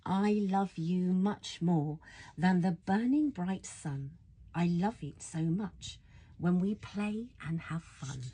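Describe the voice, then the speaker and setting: A husky woman reading a lively bedtime story. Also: mid-range voice. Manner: affectionate, playful, exclaiming in wonder. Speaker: storybook reader. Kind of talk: expressive bedtime story read-aloud for a child.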